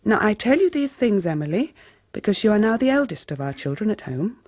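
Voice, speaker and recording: A stern, genteel Victorian mother, in a medium pitch and a very dry recording. Her upper-class English speech is formal, measured and admonishing, as in a period radio drama. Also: very animated, a little mellow, no room sound. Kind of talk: 1990s radio drama acting.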